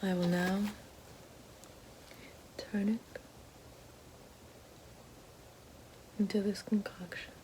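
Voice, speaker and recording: A woman playing a regal, menacing evil queen witch in an ASMR fantasy roleplay, speaking slowly and formally in a soft, breathy, often whispered voice. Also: medium pitch, flat delivery, a little hiss or hum, natural voice, rough recording. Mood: ceremonious. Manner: slow, deliberate, formal.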